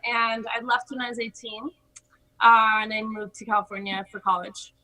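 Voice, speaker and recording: A woman's voice: a little high, steady tone, moderate pace, pushed and bright, rough recording. A middle-aged American woman from Hawaii, chatting effusively and telling personal stories as a podcast guest. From Hawaii, United States. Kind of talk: casual personal storytelling in an interview.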